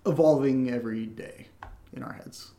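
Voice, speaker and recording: A man's voice: medium pitch, normal speed, background noise. An American man from Connecticut co-hosting a podcast, answering questions in casual, irreverent, wisecracking chat. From Connecticut, United States. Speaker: podcast co-host and audio drama writer.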